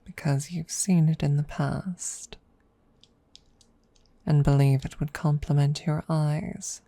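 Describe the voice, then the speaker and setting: A woman playing a proud, teasing dragon rival in a soft, measured ASMR audio roleplay, at times dropping toward a whisper. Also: low voice, steady tone. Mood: coy, vain. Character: proud, teasing dragon rival hiding affection, hoarder of treasure.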